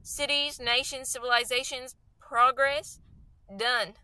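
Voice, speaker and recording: A woman's voice: high-pitched, fairly even intonation, takes it slowly, bright, forceful tone, dry recording, full character voice, poor audio. A woman playing a fervent, scheming artilleryman survivor in a radio play, talking in an insistent, slangy, folksy way. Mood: urgent, knowing.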